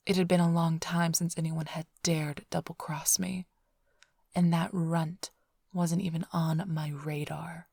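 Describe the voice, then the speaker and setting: A woman performs a female vampire thief for an ASMR roleplay, smug and flirtatious. Her voice is lowish and very breathy, often dropping into a whisper. She teases the listener in an unhurried drawl, recorded close and dry. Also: little pitch movement, a little mellow, dry recording, full character voice. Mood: wry, reminiscing. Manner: teasing, languid, mock-affectionate drawl.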